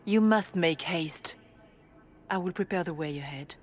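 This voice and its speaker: A woman playing a serene spirit in the guise of a holy leader, speaking in a measured, formal way for a video game. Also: on the low side, slight background noise, close to an everyday voice. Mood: solemn, calm.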